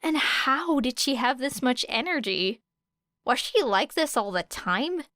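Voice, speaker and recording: Clear, smooth woman narrator, higher voice. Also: expressive, a little slow, pushed and bright, no echo, clean studio sound. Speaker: audiobook narrator. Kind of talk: scripted narration of fan fiction.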